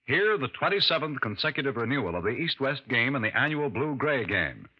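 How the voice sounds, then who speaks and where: A man reads credits and promotions in a crisp, formal announcer's manner. His voice sounds dulled like a vintage radio broadcast, with music clearly playing underneath. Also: mid-range voice, big pitch swings, fairly quick, muffled recording. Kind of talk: 1950s network radio announcing.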